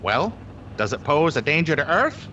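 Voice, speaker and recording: A man's voice: a little high, sing-song, moderate pace, sharp tone, dull audio, slight background noise. A man playing an earnest, florid field reporter in a 1930s radio drama spoof, with grandiloquent, formal delivery.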